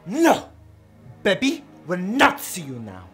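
A man's voice: high-pitched, huge pitch swings, slow, fairly bright tone, faint music behind, full character voice. A man playing a bossy mock-French mime. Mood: domineering. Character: menacing male mime with fake French accent. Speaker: actor. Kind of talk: campy web-series comedy acting.

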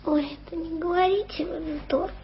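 A proud, touchy young working girl in a radio play, her voice very high with a gritty, creaky edge. She bristles and talks back stubbornly. The old broadcast sound is muffled, with music playing faintly underneath. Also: steady tone, slight background noise. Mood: wary. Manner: touchy, stubborn, quick to take offence. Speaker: title character in a radio play. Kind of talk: 1980s radio drama acting. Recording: lo-fi archival radio recording with music.

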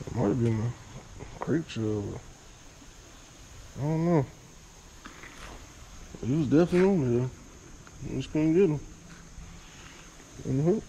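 A man's voice: a little high, unhurried, long pauses, a little hiss or hum, poor audio. A man fishing at a small creek under a bridge, giving casual running commentary to himself on a head-mounted camera. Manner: relaxed, muttering to himself. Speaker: fishing vlogger. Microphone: head-mounted action camera.

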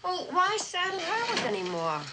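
A woman acting an eager, determined suburban wife in an old television drama, pressing her point briskly and pleadingly. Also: extremely high pitch, huge pitch swings, background noise, exaggerated voice. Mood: insistent. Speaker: actress. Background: clear background music.

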